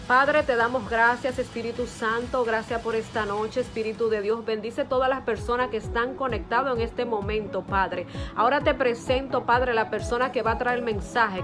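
A woman in her thirties hosting a church service in Spanish, devoutly welcoming and praying with repeated invocations, recorded on a phone indoors. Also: a little high. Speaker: service host introducing the preacher. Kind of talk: welcome, scripture reading and prayer. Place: small room at home, indoors. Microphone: phone at arm's length. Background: music.

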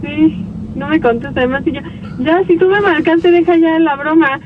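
A woman's voice: high voice, steady tone, normal speed. A young woman with a Mexican accent chatting casually through a telephone speaker. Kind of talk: casual phone chat. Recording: dull speakerphone in noisy car.

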